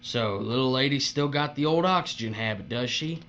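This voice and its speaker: An actor playing a smug, menacing overseer in an audio drama, sneering and drawling with mock politeness. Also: male voice, medium pitch, moderate pace, a bit bright, natural voice. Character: menacing, smug male overseer who lords over workers. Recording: dull recording with humming mains noise.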